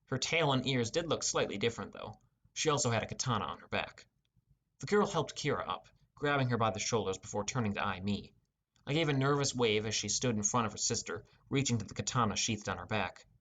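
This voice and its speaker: Low-voiced man narrating a fantasy audiobook. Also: expressive, a little fast, a bit bright. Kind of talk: scripted first-person fantasy narration.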